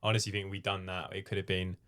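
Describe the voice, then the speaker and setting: Low flat-sounding guy hosting a podcast, short questions, lots of yeahs. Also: fast. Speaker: podcast co-host. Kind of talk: casual podcast chat.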